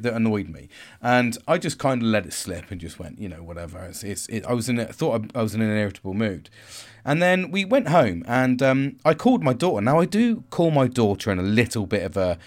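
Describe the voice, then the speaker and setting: A man talks through a personal story in a loose, candid monologue, restarting his sentences and swearing without a second thought. His voice is loud and assertive, with a mid-range pitch that swings widely up and down. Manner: rambling and candid, swears freely, frequent restarts. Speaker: vlogger sharing personal reflections. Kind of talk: casual reflective monologue.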